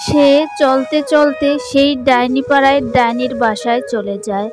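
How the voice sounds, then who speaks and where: A woman's voice: sits high, moderate pace, music in the background. A woman reading scripted narration for a children's story.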